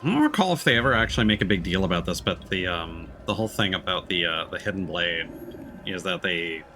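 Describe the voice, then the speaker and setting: Low-voiced man streaming a game. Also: lively intonation, fast, sharp tone, a little hiss or hum. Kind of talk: casual let's play commentary. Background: game music.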